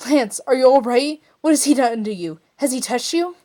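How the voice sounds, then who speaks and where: A woman voicing a fierce, protective young male warrior in a story reading, urgent and forceful. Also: high-pitched, normal speed, voice pushed up, natural voice. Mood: worried.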